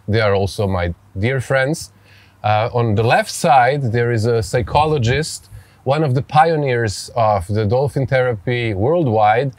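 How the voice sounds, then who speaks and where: A man with a Serbian accent speaks as a podcast host in a dry, close recording. His voice sits at a middling pitch. He interviews conversationally, in a halting way that circles back over phrases. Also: darkish tone, dry recording.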